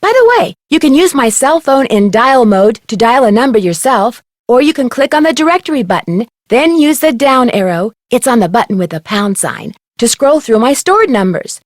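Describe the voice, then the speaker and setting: A woman's voice: on the high side, big pitch swings, moderate pace, few pauses, dry recording, studio quality. A woman playing an eager, polite young amateur detective in an adventure game, with a perky, inquisitive, scripted delivery. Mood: cheerful, instructive. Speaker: game protagonist and tutorial guide.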